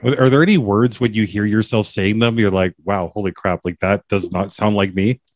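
A man's voice: on the low side, fairly even intonation, fairly quick, dry recording, studio quality. A man hosting an interview podcast, asking curious, rambling questions with frequent restarts. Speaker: podcast host. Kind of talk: casual interview chat.

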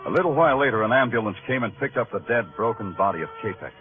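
A man's voice: on the low side, lively intonation, fast talker, close to an everyday voice. A hard-boiled American police detective acted by a man from an old radio crime drama. He is weary and wry, with terse, brooding lines that swing expressively, on a narrow vintage recording with music. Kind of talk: 1950s radio crime drama acting, noir narration.

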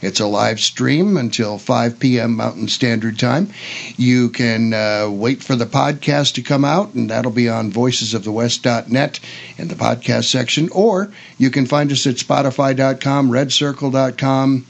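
Older man with a creaky voice, hosting radio. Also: medium pitch, measured pace. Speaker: radio host. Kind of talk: casual chatty radio hosting. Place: radio studio.

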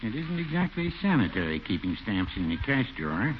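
Folksy small-town druggist, mild and literal-minded, widely swinging intonation, 1940s radio comedy on a noisy recording. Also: male voice, lowish voice, big pitch swings, a little fast, dull audio. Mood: mild, stubborn. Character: folksy, literal-minded old neighborhood druggist, chuckling at his jokes. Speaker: supporting comic character.

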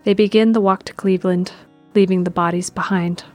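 A woman's voice: mid-range voice, steady tone, fairly quick, a little mellow, soft background music. A woman narrating a scripted audio drama in the present tense, describing the action.

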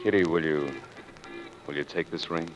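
An actor playing an earnest, good-natured, fumbling suitor in a 1940s radio drama. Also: male voice, deep voice, steady tone, normal speed, soft background music, natural voice. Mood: nervous, hesitant. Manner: hesitant, stammering. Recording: dull, noisy 1940s radio recording.

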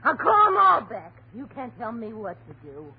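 An American actress playing a drunken, taunting, spiteful young wife in a slangy, theatrical 1930s radio drama style. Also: female voice, a little high, huge pitch swings, moderate pace. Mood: petulant, outraged. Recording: scratchy, tinny 1930s radio broadcast.

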